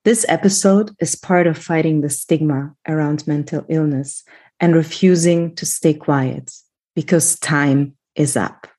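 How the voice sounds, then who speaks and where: A woman hosting an interview podcast, speaking in an earnest, deliberate way with a husky voice. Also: sits low, dark tone. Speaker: podcast host.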